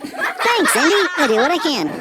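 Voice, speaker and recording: A woman's voice: very high voice, wildly swooping pitch, normal speed, heavy background noise, exaggerated voice. A woman voicing an inquisitive, naive little boy sheep puppet in a children's show. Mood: pleased. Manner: eager, questioning, naive. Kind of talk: scripted children's puppet dialogue.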